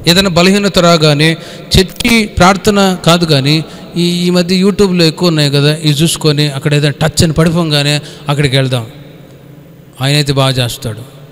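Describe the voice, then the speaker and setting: Male pastor, slightly high-pitched, exhorting colloquially in a Bible study, mixing in English words; echoing hall recording. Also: fairly even intonation, normal speed, a bit bright, a little hiss or hum. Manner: colloquial, exhorting, with rhetorical questions; code-mixes English words into speech. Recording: echoey hall with long reverb.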